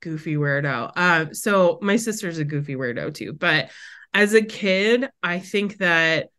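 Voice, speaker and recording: A woman in a lowish voice. She is bubbly and talkative as a podcast guest, with lots of "like" between her words. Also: lively intonation, unhurried, bright, forceful tone. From Wisconsin, USA. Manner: bubbly, chatty, lots of "like" fillers. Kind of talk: casual interview chat.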